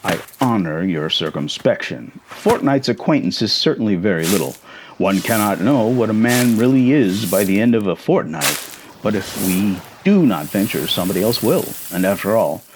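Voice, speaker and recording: A man's voice: fairly low pitch, lively intonation, normal speed, background noise. A man voicing a genteel middle-aged father in a storybook, speaking formally with dry, teasing mock seriousness.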